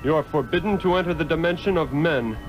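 A man's voice: a little high, fast, music in the background, close to an everyday voice. A man playing a defiant heroic sorcerer in a 1960s radio drama, firm, earnest and formal. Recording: noisy old radio drama recording.

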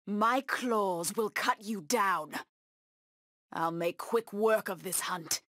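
An actress voicing a fierce, bloodthirsty feline huntress in a video game, with a menacing, haughty delivery. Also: female voice, mid-range voice, takes it slowly, pushed and bright, no room sound, studio quality. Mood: menacing, predatory. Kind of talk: video game voice acting, short combat taunts.

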